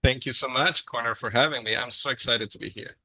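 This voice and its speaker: Bright-voiced Chilean man, dry and close. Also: medium pitch, a little fast, no room sound, poor audio. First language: Spanish. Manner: friendly, frequent ums and uhs. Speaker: podcast guest. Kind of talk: casual interview storytelling.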